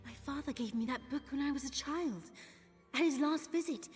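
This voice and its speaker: A woman's voice: sits high, moderate pace, natural voice. An actress voicing an earnest young woman thief searching for her missing father in a video game, confiding in tone. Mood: puzzled, searching. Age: young adult. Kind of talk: video game character dialogue. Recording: game music behind the voice.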